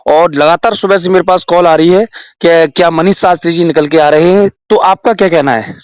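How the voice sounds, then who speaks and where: A man interviewing someone on a call for his channel, polite and quick to acknowledge what he hears. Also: a little high, smooth, no room sound. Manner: polite, frequent acknowledging replies. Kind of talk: phone interview.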